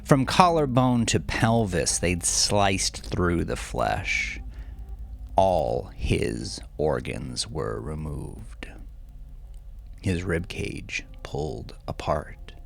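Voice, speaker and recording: A man narrating his own dark novel like a dramatic audiobook, brooding and deliberate, with gravelly phrases that often sink toward breathiness. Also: low-pitched, big pitch swings. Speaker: novelist reading own novel, podcast host. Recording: faint music bed under voice. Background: faint music.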